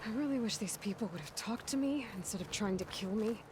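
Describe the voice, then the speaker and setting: A woman's voice: medium pitch, fast talker, natural voice. A woman playing a determined, pragmatic young warrior-explorer in a video game, speaking plainly and directly. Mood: wry, frustrated. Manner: plainspoken, direct, mutters to herself. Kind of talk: naturalistic video game acting. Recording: loud game music behind.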